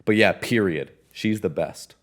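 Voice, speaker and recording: Deep-voiced young man rambling casually. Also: unhurried. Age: young adult. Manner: rambling, heavy on fillers like "like". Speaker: podcast co-host. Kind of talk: casual chat. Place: small studio stage with curtain backdrop. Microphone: handheld mic.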